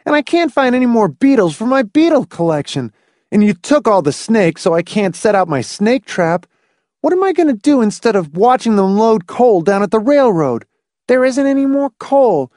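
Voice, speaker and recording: Man putting on a high-pitched, stubborn young boy. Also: darkish tone, dry recording, studio quality. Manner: complaining, vigorous, belligerent. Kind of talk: audiobook character voice.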